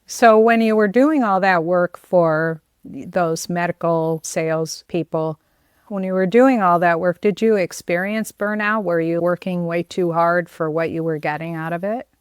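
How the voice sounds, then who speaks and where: An American woman hosts a conversational interview. Her voice sits a little low and comes across smooth and clean. The recording is dry, without audible room. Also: unhurried, dry recording. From United States. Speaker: podcast host.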